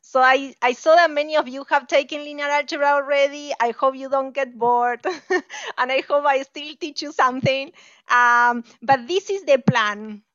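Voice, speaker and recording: A woman's voice: high voice, moderate pace, a bit bright, dry recording, lo-fi sound. A woman from Argentina, a native Spanish speaker with an Argentine accent, gives an informal online math lecture, friendly and full of fillers and laughs. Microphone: video call.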